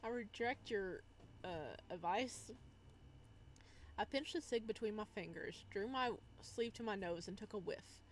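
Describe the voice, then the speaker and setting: A woman's voice: mid-range voice, expressive, a little slow. A woman playing through a visual novel, reading narration aloud and breaking in with casual, playful, teasing commentary. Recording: noticeable background noise.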